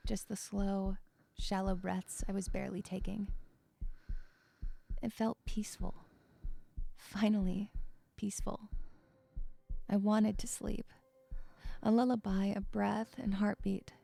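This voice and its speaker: Woman acting anxious young woman with creaky voice. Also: medium pitch, warm tone, full character voice. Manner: hesitant, stammering in dialogue, introspective in narration. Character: anxious, withdrawn young woman narrating her own story. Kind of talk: first-person dramatic narration with dialogue.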